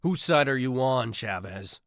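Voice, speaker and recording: A man playing a gruff, commanding army sergeant in an audiobook, hard and impatient. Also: medium pitch, expressive, unhurried, fairly bright tone, no room sound, studio quality, voice pushed up. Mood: snarling.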